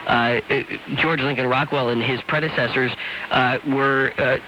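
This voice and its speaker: Bright male radio host, muffled noisy broadcast. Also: medium pitch, fairly even intonation, unhurried. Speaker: radio talk show host. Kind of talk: call-in radio hosting. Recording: dull, noisy shortwave radio cassette.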